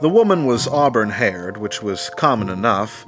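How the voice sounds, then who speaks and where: A man's voice: lowish voice, sing-song, normal speed. A man reading scripted story narration as the narrator of an audio drama. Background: music.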